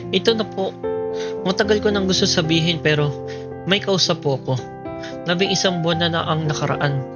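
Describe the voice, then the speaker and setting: A man's voice: a little high, a little fast, lightly acted. A man acting as a shy, insecure young student in love in a radio drama, with a Filipino accent. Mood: nervous. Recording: music playing behind the voice.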